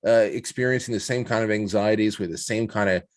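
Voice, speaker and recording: A man with a fairly low voice talks in a reflective, conversational interview style. He feels his way into each point, often repeating a word or two before moving on, like a podcast guest thinking aloud. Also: fairly even intonation. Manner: halting, repeats words as he builds points. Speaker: podcast guest, show host.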